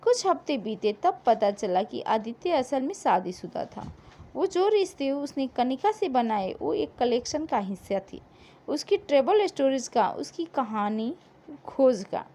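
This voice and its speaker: A woman narrating a scripted story in a dramatic, emotive way with deliberate pauses. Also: sits high, big pitch swings, mellow.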